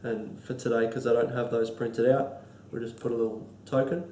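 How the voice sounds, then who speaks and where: An Australian man with a cold and a stuffy nose, explaining board game rules in a halting, casual way full of ums and restarts. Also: medium pitch, flat delivery, dark tone, echoey room, slight background noise. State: congested from a cold. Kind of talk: casual tabletop rules walkthrough.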